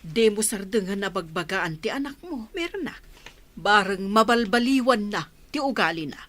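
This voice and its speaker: A woman's voice: medium pitch, lively intonation, moderate pace, a bit bright. A woman with a Filipino accent playing a concerned village neighbor who gives advice in a radio drama. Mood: concerned, advising.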